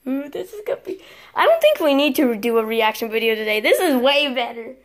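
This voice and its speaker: High-voiced giggly teenage girl. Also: sing-song, brisk. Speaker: vlogger filming prank calls with friends. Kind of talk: casual chat among friends. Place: small bedroom, indoors. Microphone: handheld phone camera.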